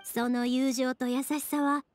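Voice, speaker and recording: An actress voicing a cheerful, warm-hearted young fireworks maker in an anime game, speaking with a lively Kansai accent. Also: female voice, high-pitched, unhurried. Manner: lively, friendly, expressive. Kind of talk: Japanese anime game voice acting. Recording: game dialogue over music.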